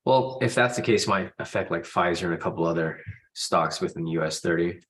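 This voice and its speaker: A man's voice: low-pitched, brisk, fairly bright tone. A man with a stuffy nose from a cold, hosting a live trading stream in a casual, informal way and addressing viewers directly. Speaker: host of a live trading room.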